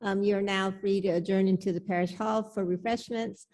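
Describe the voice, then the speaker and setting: A woman's voice: mid-range voice, steady tone, normal speed, a little mellow. A woman moderating a group discussion, giving informal thanks and announcements. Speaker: discussion moderator at a church service.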